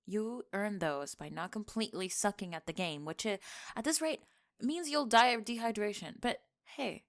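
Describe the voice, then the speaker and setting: A young woman playing a teasing, sarcastic tomboy gamer friend in an acted ASMR roleplay, with expressive sighs and laughter. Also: on the high side. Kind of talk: acted ASMR roleplay, one-sided conversation.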